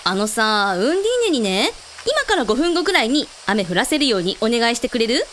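A woman's voice: a little high, big pitch swings, brisk, a bit bright, slight background noise. A woman playing a confident, teasing woman who takes charge in a radio drama. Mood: breezy.

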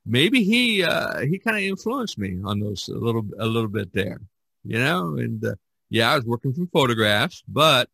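Bright-voiced man chatting on a podcast. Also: medium pitch, very animated, normal speed, gravelly, breathy edge, dry recording. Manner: rambling, jokey. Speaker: podcast host. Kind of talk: casual chat about painting.

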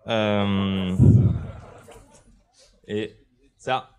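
Brief: man, 30s, lowish voice, easygoing hesitant conference presenter on a headset mic in a big hall. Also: very slow, clear, resonant tone. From Italy. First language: Italian. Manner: relaxed and joking, with many hesitations. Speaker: conference speaker. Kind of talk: presenting a technical talk. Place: large indoor conference hall, on stage.

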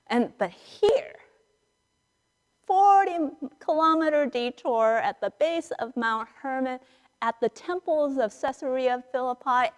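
A woman priest preaches in a fairly high voice, taking her time between phrases. She tells the story conversationally and acts out the dialogue. Her words ring through a reverberant church. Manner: animated storyteller acting out dialogue, with dramatic pauses. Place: large church sanctuary, indoors, standing and moving before the altar. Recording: reverberant church hall, picked up in a large space.

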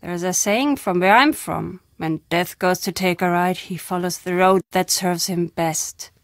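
A woman's voice: lowish voice, unhurried, dry recording, clean studio sound. An actress playing a wry, fatalistic old woman storyteller in a video game, speaking dramatically. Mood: grave, foreboding. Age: older. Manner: dramatic, emphatic storytelling with foreboding turns.

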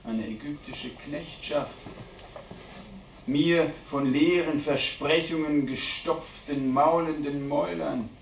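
Langsam deklamierender Mann bei einer Lyriklesung. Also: male voice, medium pitch, creaky. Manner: declamatory, deliberate, with strong swings between hushed and loud delivery. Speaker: reader of poetry at a literary event. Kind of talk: live dramatic poetry reading. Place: indoor literary event venue at a theatre. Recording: roomy live hall recording, distant mic, faint noise.

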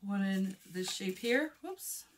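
A woman in her forties talks in a chatty, friendly way, her voice a touch hoarse and husky from a recent illness. She is in a small indoor room, speaking close to a phone camera mic. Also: mid-range voice, expressive, takes it slowly, a little hiss or hum. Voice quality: a little husky. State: slightly hoarse after illness. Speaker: vlogger host. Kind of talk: casual shopping haul chat. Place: small indoor room in front of a plain wall. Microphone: phone camera with a clip or shotgun mic at close range.

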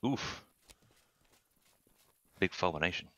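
A man in his thirties chatting casually on a headset while playing an online game, getting excited and swearing now and then. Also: takes it slowly, dry recording. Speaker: streamer. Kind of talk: casual gaming chat.

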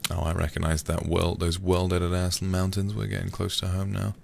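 A man livestreaming a game in a soft, casual ASMR style, murmuring to himself and often slipping into whispers on a close mic. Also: low voice, monotone, a little fast, vocal fry, slight background noise. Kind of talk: soft, casual ASMR gaming stream chat.

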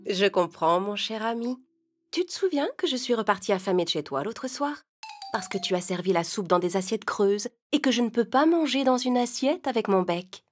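A woman voicing a polite, gentle female stork who speaks softly and kindly. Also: medium pitch, expressive, normal speed, close to an everyday voice. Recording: music under voice.

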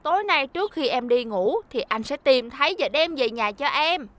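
A high-pitched, kindly cartoon school bus. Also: animated, moderate pace, a bit bright. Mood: worried, reassuring. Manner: coaxing, reassuring, talking to little children. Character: eager, kindly young talking school bus, male, big-brotherly. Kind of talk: animated children's cartoon dub.

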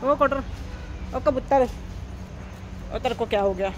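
A high-pitched woman in her thirties talks slowly and playfully, coaxing in baby talk. She is filmed on a hand-held phone outdoors at night, with clear noise around her. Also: muffled recording. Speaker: travel vlogger. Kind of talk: casual vlog narration and talking to a pigeon. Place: outdoors at night beside a parked tractor on a bus trip. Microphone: phone camera held by hand. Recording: noisy phone recording outdoors.

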